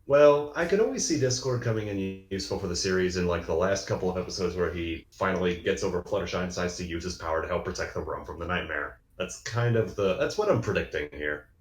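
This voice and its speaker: A man's voice: low-pitched, expressive, quick pace, few pauses. A man chatting casually as he shares his thoughts in a review discussion. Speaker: reaction show panelist. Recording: roomy, echoing sound.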